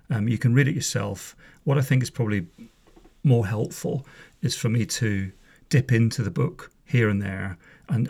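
A man's voice: lowish voice, creaky, a little mellow. A man in his fifties from Northern Ireland, a former police officer hosting a podcast, talking casually and wryly with frequent asides. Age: 50s. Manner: chatty, wry, wanders off on asides. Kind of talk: casual spoken monologue. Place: home room.

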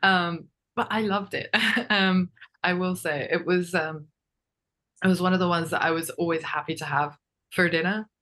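A woman's voice: lowish voice, fairly even intonation, moderate pace, a bit bright. A young woman raised in Japan, a podcast guest chatting casually with lots of fillers and little laughs. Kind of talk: casual interview chat.

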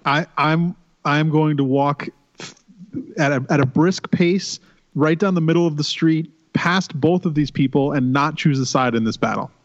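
A slightly hoarse man playing a tabletop game, stammering playfully as he declares his moves. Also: fairly high pitch, moderate pace, muffled recording. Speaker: player.

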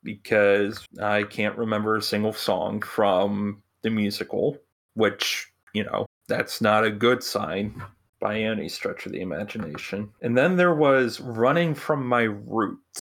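A man hosting a podcast in a casual film-review chat, blunt and given to pauses. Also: lowish voice, creaky, fairly bright tone. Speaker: podcast host.

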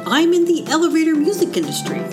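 Woman acting out animated sketch small talk over music. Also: extremely high pitch, quick pace, voice pushed up. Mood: comic small talk. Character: two strangers in small talk, one a stressed elevator-music worker. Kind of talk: comedy sketch dialogue.

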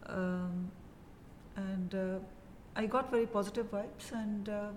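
A woman in her fifties from India with an Indian English accent, telling her story as a guest in a casual interview, measured and full of pauses. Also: medium pitch, steady tone, a little mellow, big-room sound, a little hiss or hum. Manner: measured, many pauses and "uh" fillers.

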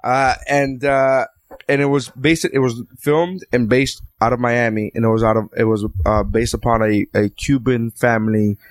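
A man's voice: medium pitch, normal speed, no echo. Swearing, rambling man in casual chat. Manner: rambling, heavy swearing, animated retelling.

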